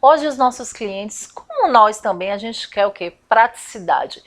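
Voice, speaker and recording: A woman's voice: a little high, expressive, a little slow. A woman from Salvador, giving a chatty step-by-step lesson as a confectioner and business coach, often asking rhetorical questions. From Salvador, Brazil. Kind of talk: informal instructional video.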